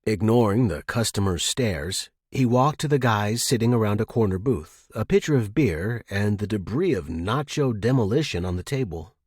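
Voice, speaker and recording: A man's voice: lowish voice, animated, moderate pace, hardly any pauses, breathy edge, dry recording, studio quality. A man reading a scripted fiction story as its narrator.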